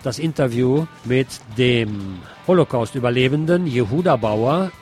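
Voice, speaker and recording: A man's voice: mid-range voice, animated, unhurried. A man reads a published interview aloud as a radio host, formally announcing questions and answers. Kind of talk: scripted reading of a published interview. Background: loud guitar music.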